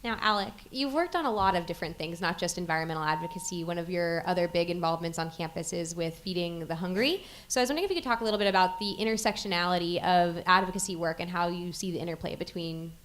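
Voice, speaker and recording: A woman's voice: lowish voice, quick pace, few pauses, a bit bright. A young woman moderating a panel, putting polite prepared questions to the speakers. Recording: faint background noise.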